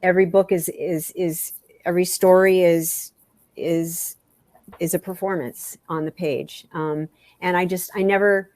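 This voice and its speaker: A woman with a fairly low voice talks candidly as an interview guest. Her delivery is halting, circling back to repeat phrases for emphasis. The sound is quite dry, with little room echo. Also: fairly even intonation, darkish tone. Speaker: author, podcast guest.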